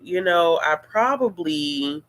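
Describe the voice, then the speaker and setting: A woman hosting a casual live-stream Q&A, talking in a relaxed, rambling and playful way. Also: on the low side, takes it slowly, slight background noise, rough recording. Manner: laid-back, rambling, playful. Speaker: booktuber host of a live Q&A.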